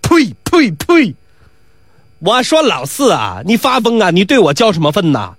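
A man's voice: high voice, wildly swooping pitch, fairly quick, a bit bright, no echo, clean studio sound, voice pushed up, full character voice. Male storyteller as self-important errand man, hot-tempered, stammering, blustering, raised swooping voice, folk-tale comedy. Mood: blustering, angry.

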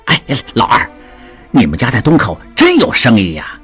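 A man voicing a hot-tempered middle-aged man who shouts and stammers in anger. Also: mid-range voice, wildly swooping pitch, moderate pace, breathy edge, faint music behind, exaggerated voice. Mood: flustered. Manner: shouting, flustered, swearing.

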